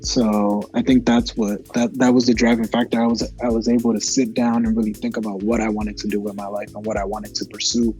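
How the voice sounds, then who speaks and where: A man's voice: on the low side, level tone, fairly quick, few pauses, a little mellow, faint music behind. A man in his twenties, an actor from Massachusetts, answering interview questions casually with many fillers and restarts. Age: 20s. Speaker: actor guest.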